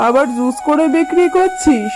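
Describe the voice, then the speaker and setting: A woman's voice: high voice, lively intonation, normal speed, over music. A woman voicing a scornful, scheming tigress aunt in a children's cartoon, with a mocking, brusque, scolding manner. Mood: mocking, dismissive.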